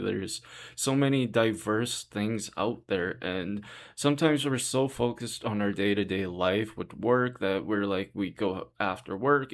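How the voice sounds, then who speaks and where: A young man with a deep voice talking earnestly and reassuringly in a rambling vlog monologue. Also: gravelly. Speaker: vlogger. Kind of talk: casual monologue to camera.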